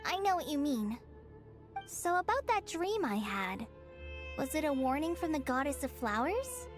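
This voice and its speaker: A woman's voice: high voice, very animated, measured pace, over music. An actress voicing an earnest, thoughtful young girl in a video game. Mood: hesitant, puzzled.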